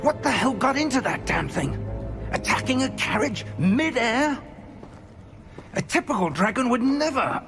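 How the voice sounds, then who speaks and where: An actor playing an older wizard professor in a video game, a wry mentor who speaks in an articulate, dry way. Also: male voice, extremely high pitch, sing-song, measured pace, over music, heavily acted. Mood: urgent, shaken.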